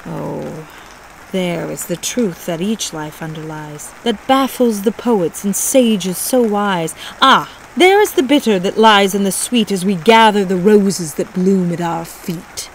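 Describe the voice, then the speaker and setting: A woman reading poetry aloud in an expressive, theatrical recitation. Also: mid-range voice, unhurried, slight background noise, rough recording. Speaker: poetry reader.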